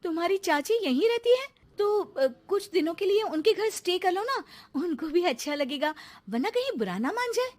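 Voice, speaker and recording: A woman's voice: extremely high pitch, sing-song, normal speed, few pauses, darkish tone, pitched above the performer's own voice. A woman voicing an exasperated young newlywed housewife in a cartoon, polite but fed up. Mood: politely pointed.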